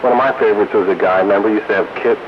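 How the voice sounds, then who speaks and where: A man's voice: on the low side, very fast. A man chatting casually and easily over CB radio, talking shop as a hobbyist host. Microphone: CB radio microphone. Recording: noisy, dull CB radio transmission.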